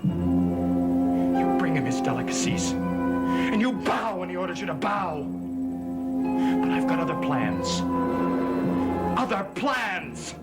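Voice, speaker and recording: An actor playing a bitter, hot-tempered young son in a gothic soap opera, vehement and accusing. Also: male voice, mid-range voice, sing-song, slow pace, long pauses, over loud music. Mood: bitter rage.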